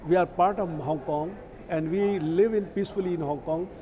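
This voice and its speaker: A man's voice: sits high, normal speed, background noise. A man giving an earnest soundbite in an on-location news interview.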